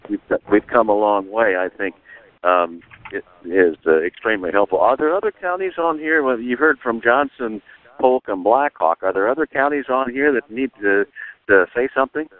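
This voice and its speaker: A man's voice: mid-range voice, normal speed. A man facilitating an informal meeting on a conference call over the telephone. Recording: roomy, tinny phone line.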